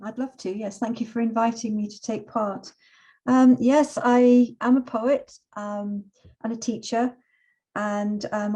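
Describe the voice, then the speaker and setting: A middle-aged woman with a mellow, unforced voice at an average pitch for a woman. She speaks reflectively and with measured phrasing, as a poet and teacher sharing personal words and verse. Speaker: poet and teacher, invited guest. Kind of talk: relaxed personal talk and poetry reading.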